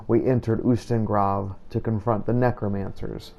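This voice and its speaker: Low, mellow man narrating in a flat tone. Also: moderate pace, vocal fry, dull audio, slight background noise. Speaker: narrator of a game roleplay playthrough. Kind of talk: scripted in-character story narration.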